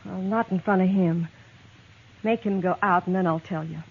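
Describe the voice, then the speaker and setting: A woman's voice: lowish voice, steady tone, moderate pace. An actress playing a distressed young frontier woman in a 1950s radio western, hesitant, anxious and polite. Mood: pleading. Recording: tinny, band-limited old radio recording.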